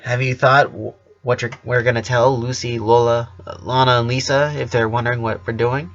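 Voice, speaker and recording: A man's voice: medium pitch, level tone, moderate pace, fairly bright tone, muffled recording, close to an everyday voice. A man voicing a caring teenage older sister in a story, casual and a little hesitant. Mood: concerned questioning.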